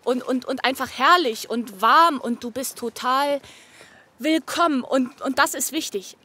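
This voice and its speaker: A woman's voice: high voice, unhurried, pushed and bright, breathy edge, exaggerated voice. An actress playing a wry, tender-hearted, playful woman talking casually in an audio drama. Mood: earnest, warm. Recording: noisy ambient location sound.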